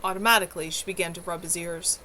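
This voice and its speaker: A woman's voice: lowish voice, steady tone, quick pace, sharp tone, a little hiss or hum, poor audio. A woman reading her own novel aloud on a livestream from home, in an unpolished amateur delivery. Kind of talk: live novel reading with casual asides.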